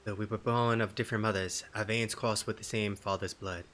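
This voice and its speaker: A man voicing a formal, solemn noblewoman from a fantasy game in measured, archaic diction. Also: on the low side, steady tone, a bit bright, lightly acted.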